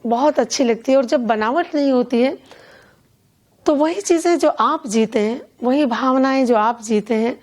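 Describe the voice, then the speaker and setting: An older woman being interviewed as a poet and event organiser, speaking in a measured, earnest, deliberate way. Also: high-pitched, darkish tone. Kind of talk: reflective conversational interview.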